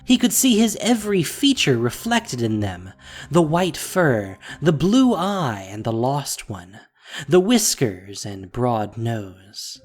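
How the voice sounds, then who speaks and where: Very deep-voiced, expressive woman narrator. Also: slow pace, soft background music. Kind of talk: expressive scripted fantasy narration.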